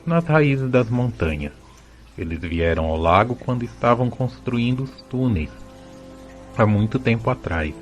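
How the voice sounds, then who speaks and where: Low-voiced Brazilian male storyteller. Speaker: narrator. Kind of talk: scripted storytelling narration for audio drama. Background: music bed.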